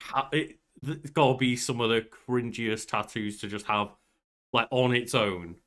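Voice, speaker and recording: A man's voice: mid-range voice, unhurried, a bit bright. A man, around his thirties, musing and rambling casually as a podcast co-host. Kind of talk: casual banter.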